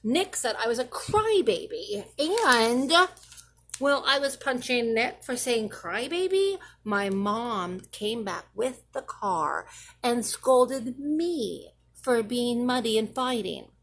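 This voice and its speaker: A woman reading a picture book aloud to children, theatrically, with drawn-out emphasis. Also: fairly high pitch, sing-song, fairly bright tone. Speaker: children's story reader. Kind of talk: expressive read-aloud picture book narration.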